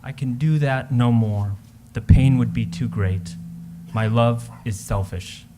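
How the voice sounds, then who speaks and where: A man's voice: medium pitch, slow, mellow, a little hiss or hum, natural voice. Man playing an ardent lovesick Romantic poet. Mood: impassioned. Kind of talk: readers theater love letter.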